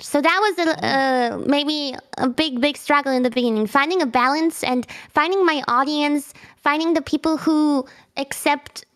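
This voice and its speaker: A woman's voice: high voice, fairly bright tone. A young woman whose first language is German, chatting as the guest of a convention Q&A in a bubbly way, with lots of fillers and restarts. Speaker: guest streamer. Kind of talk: convention Q&A interview.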